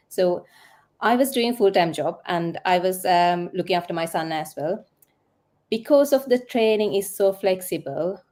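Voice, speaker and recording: A woman's voice: on the low side, a little mellow. A woman in her thirties with a Sri Lankan accent, giving a casual testimonial as a guest on an online call. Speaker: guest, nurse in online business. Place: live online video call.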